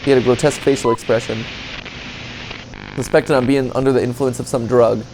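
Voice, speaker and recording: A man's voice: mid-range voice, fairly even intonation, a little fast, poor audio. A man doing casual reaction commentary, reading on-screen text aloud and adding sarcastic, joking asides and exclamations. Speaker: reaction video host. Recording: music behind the voice.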